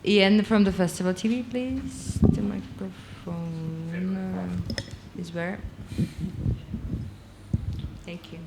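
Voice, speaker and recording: Slow-speaking woman moderating, noisy room. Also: lowish voice, steady tone. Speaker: press conference moderator. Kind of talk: brief moderating remarks. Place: press conference room.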